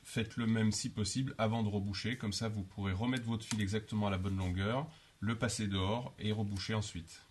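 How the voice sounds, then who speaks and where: A man's voice: fairly low pitch, steady tone, fairly quick, a little hiss or hum. Methodical man explaining DIY steps. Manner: methodical, explains each step in first person. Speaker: DIY instructor. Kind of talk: step-by-step how-to narration.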